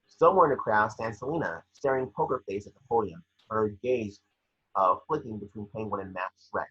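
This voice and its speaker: A man with a husky, raspy voice reading scene descriptions for a screenplay table read in a brisk, vivid storytelling way. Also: lowish voice, fairly bright tone. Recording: dull lo-fi call audio.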